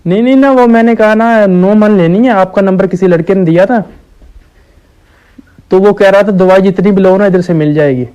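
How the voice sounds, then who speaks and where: A man playing a slow-witted, stubborn village shopkeeper on a deadpan prank call, hesitant and naively persistent. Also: high voice, clean tone, lo-fi sound, natural voice. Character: dim, stubborn village shopkeeper ordering absurd medicine quantities. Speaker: prank caller.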